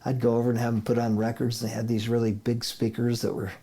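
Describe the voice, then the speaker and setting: Mellow-voiced middle-aged man telling stories. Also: medium pitch, flat delivery, quick pace, slightly rough. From Idaho, United States. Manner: rambling storyteller, chuckles often, restarts sentences. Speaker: interview guest, attorney. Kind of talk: casual conversational interview.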